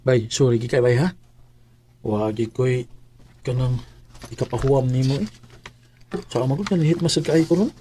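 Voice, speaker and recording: A kindly male friend in a homemade radio drama, soft-spoken and apologetic, with a Cebuano accent, as a man acts him out. His pitch is ordinary for a man. A faint hiss sits under the recording. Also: normal speed, long pauses, natural voice. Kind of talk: amateur radio drama acting.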